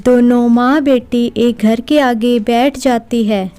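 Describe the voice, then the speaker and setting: A woman's voice: a little high, fairly even intonation, slow pace, dark tone, clear, resonant tone, soft background music. A woman narrating a scripted cartoon story.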